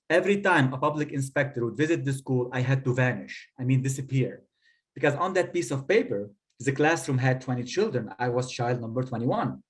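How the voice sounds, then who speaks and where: A man, telling a personal story on a panel in a measured, articulate, rhetorical way. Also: mid-range voice. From Lebanon. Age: 30s. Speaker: guest speaker telling their story.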